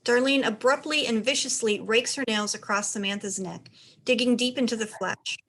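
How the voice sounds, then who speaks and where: Higher-voiced woman narrating stage directions. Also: normal speed, fairly bright tone. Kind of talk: scripted narration.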